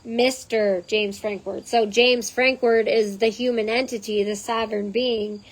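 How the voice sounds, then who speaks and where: A woman with a hoarse voice reading a text aloud and breaking off into chatty side notes, as the host of her own channel. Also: fairly high pitch, steady tone, a little slow, low-quality recording. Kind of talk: reading aloud with casual asides.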